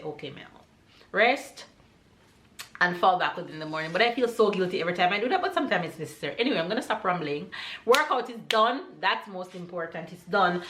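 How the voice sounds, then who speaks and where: A woman's voice: medium pitch, bright, forceful tone, reverberant. A woman in her thirties with a Jamaican accent, chatting casually for her family vlog, rambling and laughing often. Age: 30s. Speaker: family vlogger.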